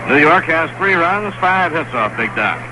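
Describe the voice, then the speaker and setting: Vintage radio baseball announcer, higher voice. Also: male voice. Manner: steady measured calling, rising on big plays. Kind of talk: live radio baseball commentary. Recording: noisy vintage AM radio broadcast.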